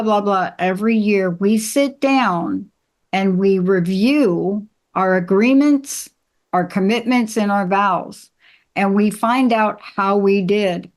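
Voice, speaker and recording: A woman with a mid-pitched voice talks as a podcast host and coach. Her delivery is conversational and emphatic, addressed directly to the people listening. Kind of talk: conversational relationship-advice talk.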